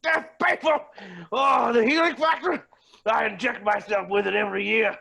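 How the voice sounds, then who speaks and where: A man's voice: very high voice, steady tone, normal speed, creaky, sharp tone, heavily acted. A man acting a gleefully cruel billionaire villain in a staged play reading, with pompous, melodramatic, swooping delivery. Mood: manic glee.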